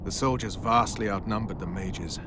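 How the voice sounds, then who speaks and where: An actor playing a hardened, grimly loyal soldier in a fantasy video game, blunt and sardonic. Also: male voice, fairly low pitch, normal speed, noisy background, lightly acted.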